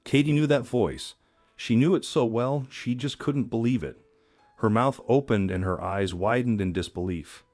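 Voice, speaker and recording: A man's voice: medium pitch, normal speed, darkish tone, dry recording, soft background music. A man reading scripted story narration in a dramatic storyteller manner.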